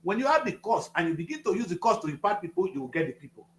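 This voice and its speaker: A man's voice: high-pitched, big pitch swings, rapid-fire, roomy sound, slight background noise. A Nigerian man in his fifties, speaking as a studio guest with a preachy, emphatic manner, repeating phrases for effect. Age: 50s. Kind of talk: passionate political talk.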